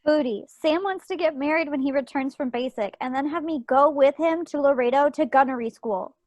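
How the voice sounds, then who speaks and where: A woman's voice: high voice, fairly quick, a little mellow, no room sound, close to an everyday voice. A woman playing an earnest, impatient young bride-to-be in an amateur play read over a video call.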